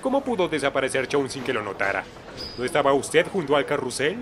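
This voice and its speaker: Male actor as brisk interrogating police lieutenant, game drama, animated mid-range voice, Latin American Spanish accent. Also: medium pitch, background noise, natural voice. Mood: probing, stern. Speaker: fan dub voice actor. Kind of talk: dramatic video game dub.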